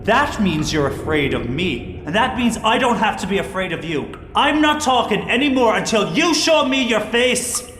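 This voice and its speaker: A man playing a hot-tempered, defiant captive boat engineer in a sci-fi audio drama, agitated and quick to swear. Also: high-pitched, big pitch swings, a bit bright. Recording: echoey reverberant room with faint noise.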